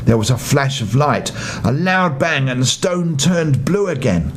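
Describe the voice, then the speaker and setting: An older man narrating a children's bedtime story as an expressive storybook reader. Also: medium pitch, measured pace, a little hiss or hum.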